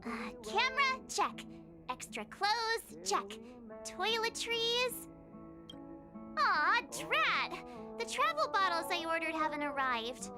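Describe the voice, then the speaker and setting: Very high, bubbly young girl. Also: slow, full character voice. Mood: cheerful musing. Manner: perky, expressive, musing aloud. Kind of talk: video game character acting. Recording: game music behind the voice. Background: music.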